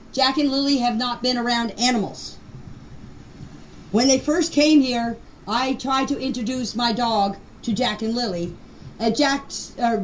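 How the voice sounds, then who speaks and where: An older woman with a high voice gives an informal, plain-spoken account of events. She stresses her points and repeats them. It is a voice message picked up at some distance, with faint noise in the recording. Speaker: grandmother recounting events. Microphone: voice clips recorded for a group chat.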